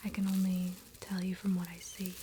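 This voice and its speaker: Woman, unvoiced breathy whisper, mellow, informal ASMR chat, close-miked, faint background noise. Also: on the low side, flat delivery. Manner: unhurried, soft-spoken, informal. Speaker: ASMR creator and crystal seller.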